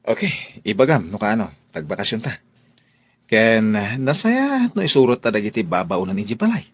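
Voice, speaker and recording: A man acting in a radio drama as a lively, easygoing son of a well-off household. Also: fairly low pitch, moderate pace, husky, no echo. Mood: upbeat.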